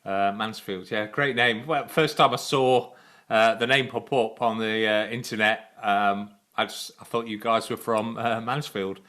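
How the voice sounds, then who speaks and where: Chatty, bright-voiced male host. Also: lowish voice, steady tone, a little slow. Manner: enthusiastic, rambling, lots of uh and um. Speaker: show host. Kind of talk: live stream interview.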